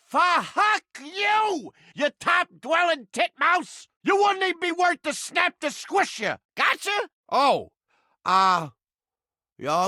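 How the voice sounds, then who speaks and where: A man's voice: very high-pitched, pushed and bright, no room sound, clean studio sound, exaggerated voice. A man voicing a foul-mouthed, touchy, lovelorn mouse trap come to life in a video game, with brash, streetwise, slangy griping. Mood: grudging. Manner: brash streetwise slangy griping, swinging between insults and bashfulness. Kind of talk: cartoonish video game character acting.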